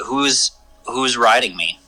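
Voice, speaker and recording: A young man from Michigan calling in to a podcast, talking casually and candidly with halting restarts. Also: medium pitch, lively intonation, bright, forceful tone, soft background music, rough recording. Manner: candid, self-deprecating, halting. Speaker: caller. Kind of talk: casual phone chat.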